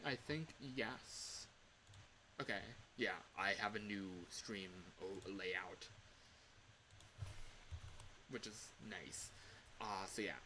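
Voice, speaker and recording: A man's voice: mid-range voice, pushed and bright, a little hiss or hum, poor audio. A man hosting a casual livestream tutorial, talking in a chatty, rambling, informal way into a microphone. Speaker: livestream host. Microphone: microphone on a home streaming setup.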